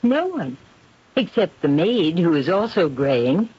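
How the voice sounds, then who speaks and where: A woman's voice: on the low side, very animated, takes it slowly, warm tone, slightly rough, full character voice. An actress playing an uneasy lady of a haunted manor in a 1970s radio drama. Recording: muffled 1970s radio recording.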